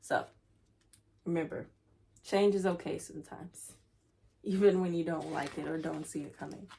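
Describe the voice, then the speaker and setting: A woman's voice: low-pitched, normal speed, vocal fry, warm tone. A woman hosting a children's read-aloud, chatting playfully to young listeners and reading aloud.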